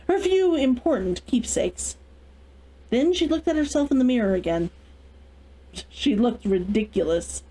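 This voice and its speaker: High, animated woman narrating a story. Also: rough recording. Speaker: host of a story read-along.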